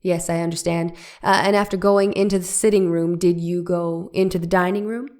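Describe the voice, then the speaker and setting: A woman's voice: lowish voice, level tone, a little fast, a little mellow, natural voice. A woman reading the part of an 1890s attorney cross-examining a witness with pressing, leading questions. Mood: probing. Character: probing 1890s defense attorney. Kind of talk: dramatic reading of a trial transcript.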